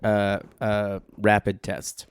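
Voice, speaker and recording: Hoarse man with a chest cold. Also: fairly low pitch, completely flat delivery, slow, dry recording. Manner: playful, bantering. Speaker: podcast co-host. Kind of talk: casual chat.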